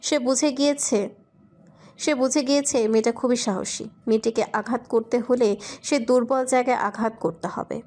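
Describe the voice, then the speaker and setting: A woman's voice: fairly high pitch, a little mellow. A woman reading scripted romantic fiction as a narrator.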